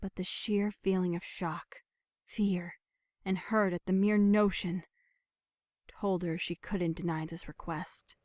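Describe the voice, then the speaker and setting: Female narrator, slightly low register, dramatic story reading with hushed phrase endings. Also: steady tone, slow, no echo, studio quality. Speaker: narrator of a fan fiction audio production. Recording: background music under voice. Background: music.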